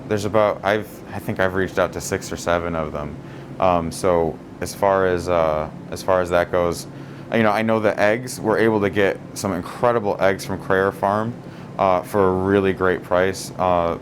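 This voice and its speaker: A man's voice: low voice, background noise. A man showing visitors around his grocery store, talking casually with lots of fillers and restarts. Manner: relaxed, many fillers and restarts. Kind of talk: casual store tour talk.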